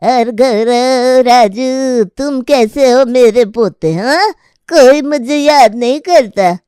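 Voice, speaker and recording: A woman voicing a cranky old grandmother and sarcastic mother-in-law who scolds and complains in an animated family story. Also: sits high, slow, clear, resonant tone, no room sound, studio quality. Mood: indignant.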